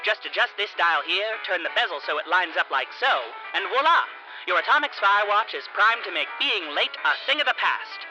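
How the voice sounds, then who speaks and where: An actor playing a smooth-talking, eager watch salesman in a showy 1950s infomercial, heard on a distorted old tape. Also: high voice, expressive, a little fast. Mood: showy, excited. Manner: showy, patter-heavy salesmanship. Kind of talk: 1950s infomercial pitch acting. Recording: distorted old tape, loud music bed.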